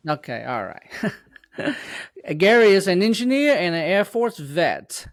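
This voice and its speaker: A man's voice: high-pitched, very animated, takes it slowly, no echo, clean studio sound. A man hosting an interview show, asking questions with frequent stumbles and self-corrections. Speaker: host. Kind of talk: casual interview.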